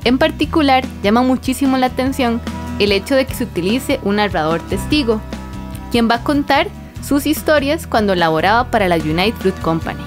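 A woman's voice: fairly high pitch, lively intonation, normal speed. A woman giving prepared, formal literary commentary in the didactic manner of a professor. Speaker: literature professor, guest specialist. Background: music.

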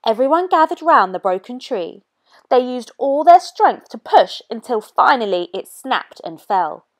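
A woman narrating a children's picture book in an expressive, clearly paced read-aloud manner for young listeners. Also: on the high side, measured pace. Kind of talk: expressive scripted storybook narration.

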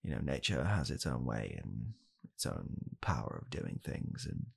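A young man playing a blunt, callous father in an ASMR comedy roleplay, speaking softly and haltingly in a deadpan way. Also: a bass voice, vocal fry, husky, exaggerated voice. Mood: deadpan, bleak. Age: young adult. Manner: soft-spoken, halting, deadpan. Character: blunt, callous father calmly blaming his child. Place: small home room, indoors.

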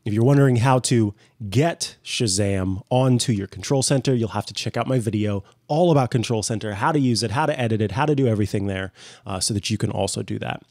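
A man's voice: lowish voice, fairly quick, a little mellow, no room sound. A young man hosting a tech tutorial, explaining things step by step in a conversational way on a close studio microphone. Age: young adult. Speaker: host of a tech tutorial show. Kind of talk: conversational software walkthrough. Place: small indoor home office. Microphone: close desk studio microphone.

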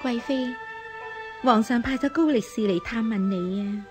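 An actress with a Hong Kong Cantonese accent playing a shrewd elder sister in a radio drama, coaxing and admonishing. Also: female voice, on the high side, a little slow, music in the background, natural voice.

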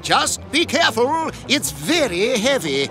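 Fussy, animated cartoon helicopter. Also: male voice, very high voice, measured pace, heavily acted. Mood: concerned. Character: fretful, earnest male helicopter. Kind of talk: children's animated cartoon acting.

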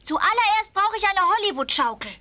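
Little tiger for kids' cartoon: very high squeak, bouncy pitch, eager and bossy. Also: female voice, big pitch swings, brisk, bright, forceful tone, no echo, full character voice. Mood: excited, insistent. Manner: eager, childlike, emphatic. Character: small excitable young male tiger, dreamy and bossy. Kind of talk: children's animated audio story acting.

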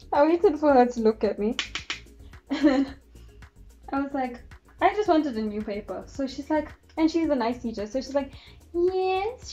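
A woman's voice: fairly high pitch, dark tone, lo-fi sound. A young woman vlogger with a congested, fading voice, telling a chatty, giggly story in her bedroom while her makeup is done. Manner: chatty, giggly, acts out quotes. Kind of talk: casual storytelling vlog. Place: bedroom, indoors.

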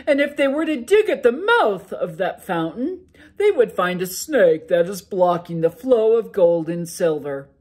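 Storybook ogre, male, cranky and drowsy, a woman doing the voice, breathy edge. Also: mid-range voice, sing-song, unhurried, pitched above the performer's own voice. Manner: loud, grumbling, yawning.

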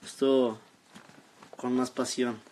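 A seventeen-year-old young man with a Mexican accent, chatting casually and haltingly as he reads out and answers comments on a live stream from his phone. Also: medium pitch, flat delivery, heavy background noise. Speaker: social media live streamer. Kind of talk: casual chat, reading out and answering viewer comments. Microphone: phone at arm's length.

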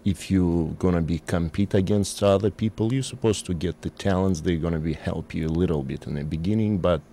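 Kazakh-born male guest in his 40s, low gravelly voice, brief halting answers, desk mic in a studio. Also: warm tone, a little hiss or hum. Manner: short hesitant answers in non-native English. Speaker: dance studio owner.

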